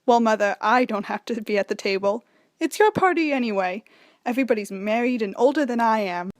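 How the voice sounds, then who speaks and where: A woman's voice: on the high side, expressive, fairly quick, no room sound, clean studio sound, lightly acted. A woman playing a young debutante daughter in a radio play, girlish, plain-spoken and protesting. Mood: reluctant, protesting.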